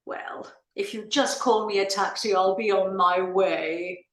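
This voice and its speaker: A woman's voice: mid-range voice, fairly even intonation, a little slow, a bit bright, lightly acted. A woman playing a haughty, indignant society lady in a comic play, imperious and theatrical. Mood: haughty, dismissive. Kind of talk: comic stage play reading. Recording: roomy, echoing sound.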